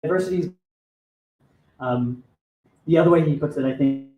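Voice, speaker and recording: A man on a live café panel, enthusiastic in his praise while pausing to find his words. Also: on the high side, warm tone, echoey room, poor audio.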